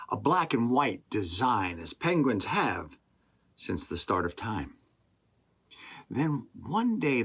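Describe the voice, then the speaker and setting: A man with a husky voice narrates a rhyming story for children. His delivery is slow and deliberate, with expressive pauses. The pitch sweeps widely as he reads.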